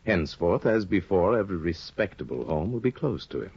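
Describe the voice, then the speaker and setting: An actor playing a sly, scheming older judge in a 1950 radio drama, insinuating and hesitant, on a dull old broadcast recording. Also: male voice, sits low, big pitch swings, creaky, darkish tone, husky, exaggerated voice. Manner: insinuating, hesitant with drawn-out ums. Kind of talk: 1950s radio drama acting.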